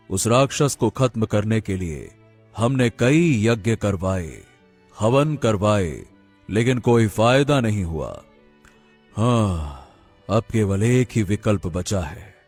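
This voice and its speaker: A man playing a worried, solemn king in an animated moral story, grave, halting and respectful. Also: on the low side, soft background music, exaggerated voice. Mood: grave, resigned. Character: worried, solemn king burdened by a demon's threat.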